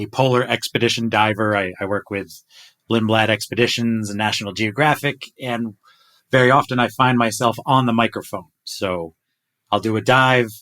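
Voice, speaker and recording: A man who works as a polar expedition diver, chatting casually with colleagues in an excitable, animated way. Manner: excitable, animated, jumps up in pitch when enthusiastic. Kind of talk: casual group chat.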